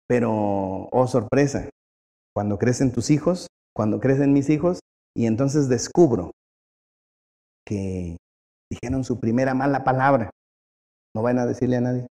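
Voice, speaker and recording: A pastor preaching a sermon in a conversational style, repeating phrases for effect. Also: male voice, on the high side, warm tone. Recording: long hall reverb.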